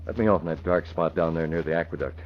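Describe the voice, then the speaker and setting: Man playing a very deep-voiced, commanding masked vigilante. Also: fast, close to an everyday voice. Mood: brisk, commanding. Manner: terse, commanding. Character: masked crime-fighting vigilante, cool, commanding, secretive. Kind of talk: old-time radio drama acting. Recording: dull vintage radio recording.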